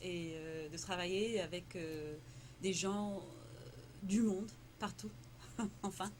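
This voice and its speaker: A woman whose first language is Tamil speaks in a fairly low voice, stumbling through her answers with lots of fillers and repeated words. The recording carries steady noise in the background. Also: slow pace. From Morocco. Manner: hesitant, many fillers and repeated words, laughs often. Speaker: interviewee, entrepreneur. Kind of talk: casual conversational interview. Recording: noisy background.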